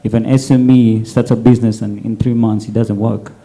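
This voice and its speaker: A man speaking on a panel, halting, with many fillers and repeated words. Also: fairly low pitch, flat delivery, dark tone, rough recording. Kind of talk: panel discussion.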